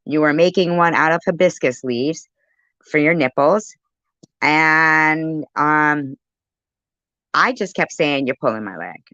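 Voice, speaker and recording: A woman's voice: low voice, lively intonation, slow, fairly bright tone, dry recording, muffled recording. A woman giving casual reaction commentary on a live stream, chatty and argumentative as she interjects.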